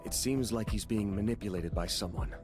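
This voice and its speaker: A man acting as a composed, courteous male guild master and elite hunter in a video game. The voice is low, formal and measured. Music is clearly audible behind him. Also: lightly acted. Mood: earnest.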